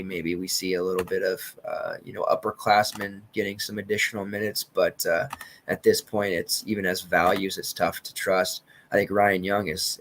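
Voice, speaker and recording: A man in his thirties, losing his voice and clearing his throat, rambling casually through sports betting picks. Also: lowish voice. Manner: loose, rambling, confident picks with filler and restarts. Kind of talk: casual solo podcast commentary.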